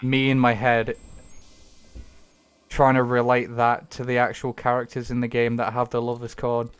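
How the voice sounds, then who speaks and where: A man's voice: medium pitch, completely flat delivery, normal speed, clear, resonant tone, soft background music. A young man chatting casually while streaming a game at home, rambling and self-deprecating with the odd swear. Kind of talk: casual gaming stream chat.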